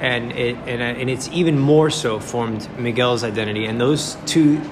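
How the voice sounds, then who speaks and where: A young male podcast host of middling pitch who talks in an eager, chatty way and keeps the tone casual. Clear background noise runs under his voice throughout. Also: normal speed. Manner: eager, chatty, addresses guest as bro and man. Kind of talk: casual interview chat.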